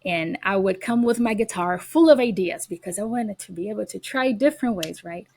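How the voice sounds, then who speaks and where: A woman's voice: medium pitch, brisk, a little mellow. A woman from Brazil with a Brazilian accent, a guest on an interview podcast, telling earnest stories with frequent fillers and restarts. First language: Portuguese. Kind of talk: casual interview.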